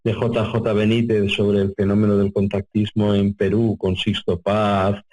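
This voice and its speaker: A man's voice: lowish voice, flat delivery, unhurried, darkish tone, poor audio. An older man from Spain answering as an interview guest, reflective and conversational, wordy and often rephrasing as he goes. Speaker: interview guest, UFO contactee researcher.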